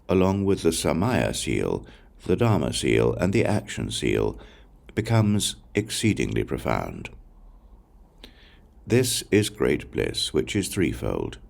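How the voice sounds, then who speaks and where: A man reading scripture as an audiobook narrator, in a measured, solemn recitation with deliberate pauses. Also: a bass voice, vocal fry, darkish tone. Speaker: audiobook reader.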